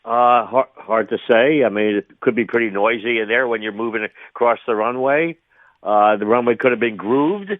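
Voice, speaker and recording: A man's voice: mid-range voice, normal speed, no echo. Older man on a tinny phone line. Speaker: retired air traffic controller, interview guest. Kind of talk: spontaneous radio interview commentary. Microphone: phone call to a radio show.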